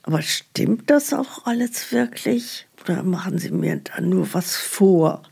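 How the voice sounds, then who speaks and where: An actress playing a hesitant, gullible elderly care home resident in a naturalistic radio drama, with a husky, creaky voice. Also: female voice, mid-range voice, lively intonation, a little mellow, exaggerated voice. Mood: doubtful, puzzled. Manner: slow, hesitant, naively questioning.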